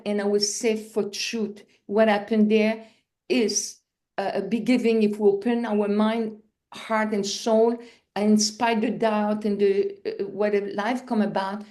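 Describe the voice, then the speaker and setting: A middle-aged woman from Quebec, a native French speaker with a Quebec French accent, telling spiritual stories in an interview with laughs and acted-out scenes. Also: medium pitch. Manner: animated storyteller, acts out scenes with laughs and cries. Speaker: guest.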